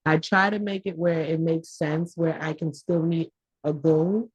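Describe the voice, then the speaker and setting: A woman whose first language is Haitian Creole speaks hesitantly and candidly over a video call. Her voice is very low and husky, with a flat melody and a dry sound. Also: unhurried, dry recording, low-quality recording. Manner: hesitant, candid, many fillers. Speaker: class participant, small business owner. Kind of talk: casual chat.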